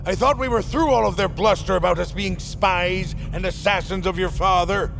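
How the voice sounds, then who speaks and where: An actor playing a gruff, weary old brawler in an audio drama, blustery and grumbling. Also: male voice, sits high, moderate pace, music in the background. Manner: blustery, grumbling, speaks in curses. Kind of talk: audio fiction drama acting.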